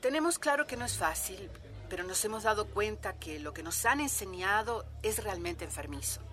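An actress in a radio drama playing an earnest, proud village farmer who speaks out as an activist in an interview. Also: female voice, medium pitch, lively intonation, moderate pace, bright, forceful tone, faint music behind. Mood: earnest, resolute.

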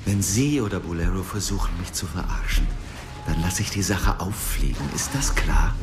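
An actor playing a weary but defiant labourer on Mars in a science fiction radio drama. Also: male voice, sits low, expressive, normal speed, music in the background.

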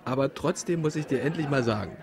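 An actor playing a sceptical young friend in a German radio drama, with a dry, bantering manner. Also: male voice, medium pitch, quick pace, a crowd in the background, natural voice. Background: loud music.

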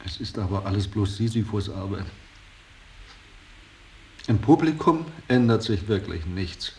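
A disheartened younger artist with a fairly low voice. He speaks with respectful resignation and a plaintive edge. It is a radio drama, heard a little away from the mic. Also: animated, measured pace, creaky, a little mellow. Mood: bitter, weary. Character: discouraged, dutiful former painter, struggling newspaper writer, younger man. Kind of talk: German radio play acting. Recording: distant, slightly hissy radio drama recording.

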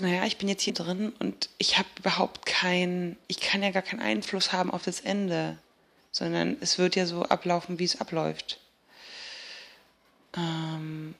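Eine Schauspielerin spielt in einem Hörspiel eine junge Frau, die stockend und umgangssprachlich einen seltsamen Traum erzählt und dabei nach Worten sucht. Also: female voice, on the low side, vocal fry, fairly bright tone. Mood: hesitant, bemused. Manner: halting, colloquial, with fillers and self-corrections. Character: young woman recounting a strange dream, searching for words. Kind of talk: naturalistic radio drama monologue.

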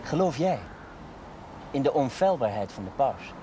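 An actor playing a playful, ardent young suitor in a television drama, teasing and eagerly insistent. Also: male voice, mid-range voice, sing-song, normal speed, background noise, lightly acted. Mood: tender, earnest. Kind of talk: 1970s Dutch television drama acting.

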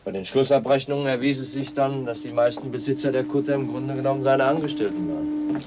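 Actor as a pensive young heir, radio drama. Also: male voice, medium pitch, fast talker, close to an everyday voice. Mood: measured, factual. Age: young adult. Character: pensive, reserved young heir, newly arrived in town. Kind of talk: 1950s German radio drama acting. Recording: slightly noisy 1950s radio recording.

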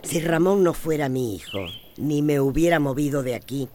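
A woman's voice: sits low, moderate pace, a little mellow, faint music behind, lightly acted. An actress playing a proud, bossy widow in her seventies with a Central American accent, in a radio soap opera. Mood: stubborn, indignant. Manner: bossy, indignant. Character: stubborn, proud widow of seventy-four, mayor's mother.

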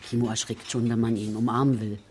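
An actress playing a brisk, defensive middle-aged mother working as a waitress who protects her daughter. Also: a bass voice, level tone, dark tone, slight background noise.

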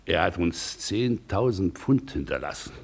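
Gravelly, pompous older gentleman. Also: low-pitched, sing-song, a little slow, full character voice. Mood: pompous, solemn. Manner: pompous, oratorical, gruff. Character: pompous, blustering older gentleman, club president and stern father. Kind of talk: 1950s German radio play acting.

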